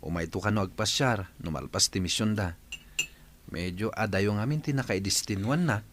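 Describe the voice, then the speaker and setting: A man's voice: lowish voice, normal speed, a bit bright, a little hiss or hum, close to an everyday voice. A man with a Filipino accent playing a chatty, teasing young villager in an Ilocano radio comedy drama.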